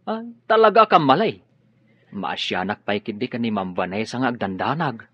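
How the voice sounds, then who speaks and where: An actor playing a concerned, deferential household helper in a radio drama. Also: male voice, medium pitch, sing-song, moderate pace, breathy edge, no room sound. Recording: dry, muffled lo-fi radio drama with music.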